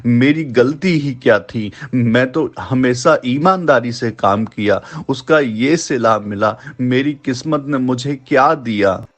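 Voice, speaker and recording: A man's voice: medium pitch, slow pace, few pauses. A man voicing a humble, wronged poor servant in a moral story, pleading and faltering. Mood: despairing. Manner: pleading, stammering. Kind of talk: animated moral-story voice acting.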